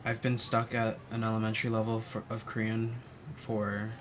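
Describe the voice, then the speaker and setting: A young man, telling a personal story in a reflective, soft-spoken way with many pauses and "um"s. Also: lowish voice, monotone. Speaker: interviewee. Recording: faint ambient noise.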